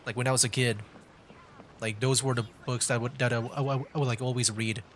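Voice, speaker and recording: A man's voice: medium pitch, flat delivery, brisk, slight background noise. A young man streaming a game with casual, hesitant commentary, rambling and repeating his words. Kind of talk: casual live gaming commentary. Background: game music.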